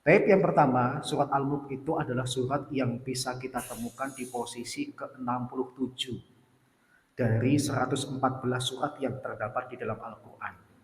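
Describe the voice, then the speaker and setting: A man preaching a study lecture in a mosque, speaking with a sore throat in a steady teaching tone and reciting Arabic prayers and sayings. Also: mid-range voice, moderate pace. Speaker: Islamic preacher. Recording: distant voice with long hall reverb and faint noise.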